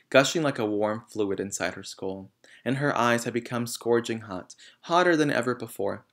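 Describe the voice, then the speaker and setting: Low-pitched man reading aloud. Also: fairly bright tone. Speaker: reader. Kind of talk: children's novel read aloud.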